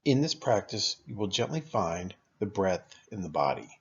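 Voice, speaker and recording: Husky, creaky low-voiced man guiding meditation. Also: dull audio. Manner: calm, unhurried, instructional, with long pauses. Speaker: guided meditation teacher. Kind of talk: guided meditation.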